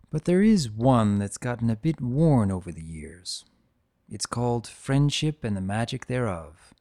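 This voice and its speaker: An old dragon played by a man tells his story in a mid-pitched voice. He is gentle and nostalgic, speaking with reflective, sentimental care, as in a dramatic storybook reading. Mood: fond reminiscence. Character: aged dragon, gentle, nostalgic, fondly guarding keepsakes.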